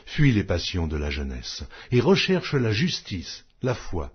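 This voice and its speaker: A man narrating a Bible audiobook in a solemn scripture-reading style, with measured, declamatory phrasing. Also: on the low side, huge pitch swings, slightly rough, muffled recording.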